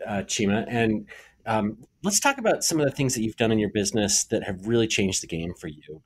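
Male podcast host, interview questions, relaxed, hesitant, lots of fillers. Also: fairly low pitch.

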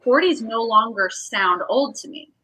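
A woman's voice: a little high, expressive, a little slow, sharp tone, breathy edge. A pregnant woman in her late thirties co-hosting a podcast, frank and matter-of-fact with dry jokes. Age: late 30s. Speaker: podcast co-host. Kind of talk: casual chat.

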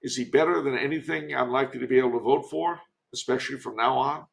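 Older guy guesting on a podcast, super gravelly fry in his voice, rambly storyteller who leans on his points. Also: mid-range voice, quick pace. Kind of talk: conversational commentary with anecdotes.

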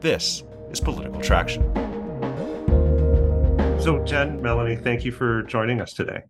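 A man's voice: medium pitch, animated, moderate pace, over loud music. A man in his thirties hosting a political podcast, moving between a polished scripted opener and hesitant, chatty questions. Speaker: podcast host. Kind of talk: scripted intro, then casual hosting.

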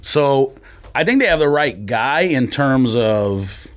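Animated man debating sports. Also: medium pitch, slow. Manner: argumentative, slangy, frequently interjecting. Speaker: sports podcast co-host.

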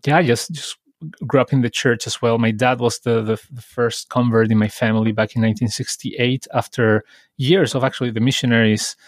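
A man hosts a conversational podcast, recounting memories in a reflective, easygoing way. His voice sits at a medium pitch and is recorded dry and close, with no room sound. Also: steady tone, fairly quick, dry recording. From Chile. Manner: reflective, storytelling, lightly laughing. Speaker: podcast host.

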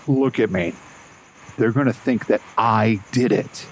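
A man's voice: on the low side, unhurried, slight background noise, natural voice, rough recording. An actor playing a shaken young man covered in gore, talking bluntly in a panic. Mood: tense. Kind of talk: audio drama acting.

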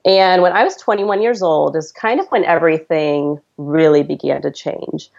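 A woman's voice: sits low, moderate pace, creaky, darkish tone, lo-fi sound. A woman in her thirties, a podcast guest, telling her story in an earnest, chatty way. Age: 30s. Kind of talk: conversational storytelling.

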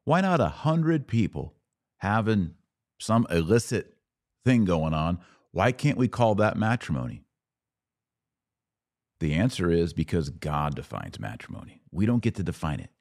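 A man's voice: sits low, sing-song, measured pace. A man hosting a podcast, commenting emphatically on clips he plays and stressing his points with rising force. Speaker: podcast host.

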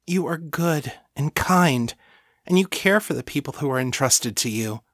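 Male narrator as devoted servant woman, raised pitch, earnest, submissive, rapturous, fantasy audiobook. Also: lively intonation, unhurried, a bit bright, dry recording, studio quality. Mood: adoring, reverent. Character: Lena, devoted, self-effacing female thrall, rapturous and servile.

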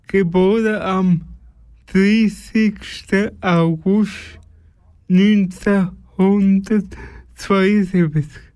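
A radio presenter giving a celebrity birthday segment in a careful, halting way with some stumbles. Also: medium pitch, darkish tone, no room sound.

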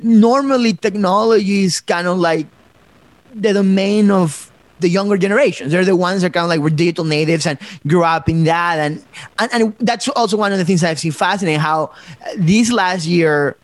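High-voiced reflective man from Ecuador. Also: moderate pace, dry recording. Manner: reflective, many fillers and restarts. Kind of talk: conversational podcast talk.

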